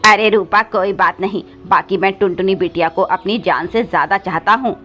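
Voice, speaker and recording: A woman acts out a scheming crow stepfather for a cartoon fable. He is cruel and sly, a scolder and wheedler. Soft music sits behind slightly dull sound. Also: medium pitch, normal speed, lightly acted. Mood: falsely sweet. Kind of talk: cartoon moral story voice acting.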